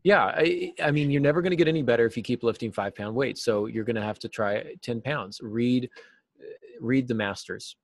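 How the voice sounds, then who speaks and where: A middle-aged man giving conversational advice as an interview guest, often pausing mid-thought as he phrases things. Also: mid-range voice, vocal fry, dry recording. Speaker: guest, novelist and podcast host. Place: small cluttered office, indoors.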